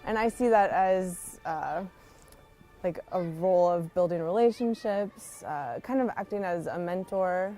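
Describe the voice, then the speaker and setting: This is a woman with a mellow, slightly low voice. She speaks in an earnest, informal and conversational way, like a missionary teacher sharing a personal testimony. Faint music plays behind her as she talks. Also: a little slow. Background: background music.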